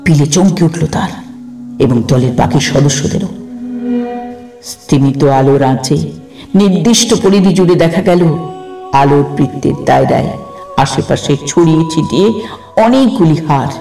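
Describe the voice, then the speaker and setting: Male horror narrator, theatrical and suspenseful, mellow yet creaky, echoing recording. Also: a little high, unhurried, pauses often. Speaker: storyteller, narrator. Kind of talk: dramatic scripted horror narration. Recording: roomy, distant sound with music behind.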